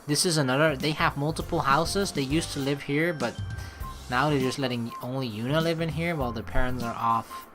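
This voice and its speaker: A woman's voice: extremely low pitch, a little fast. A woman doing casual, chatty, rambling let's play commentary, reading out a game and reacting playfully. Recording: music under the voice. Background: game music.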